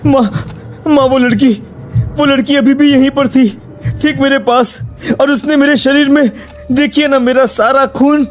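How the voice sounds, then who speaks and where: A man playing an anxious yet curious young student in a dramatised cartoon story, with an expressive delivery. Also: very high-pitched, measured pace, faint music behind. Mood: terrified. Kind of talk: dramatised Hindi cartoon horror story dialogue.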